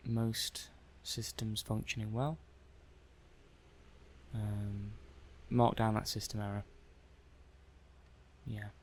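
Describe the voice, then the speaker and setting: A man's voice: on the low side, level tone, takes it slowly, long pauses, a little mellow, a little hiss or hum. A man playing a mild, methodical robot-testing technician in an ASMR roleplay, muttering hesitantly and matter-of-factly to himself. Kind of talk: soft-paced ASMR roleplay monologue.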